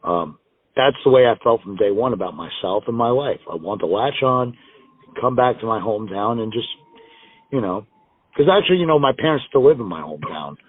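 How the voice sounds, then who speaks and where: A man from the United States, a wrestler guest, telling stories in a rambling, self-deprecating way and laughing often. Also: mid-range voice. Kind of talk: casual interview storytelling. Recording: tinny dry phone line.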